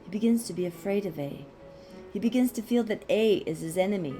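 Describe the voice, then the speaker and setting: A woman narrates a philosophical text with a soft, mellow tone at a medium pitch. Her reading is deliberate and measured, with pauses between phrases. Faint guitar music plays behind her voice. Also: expressive. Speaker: audiobook reader.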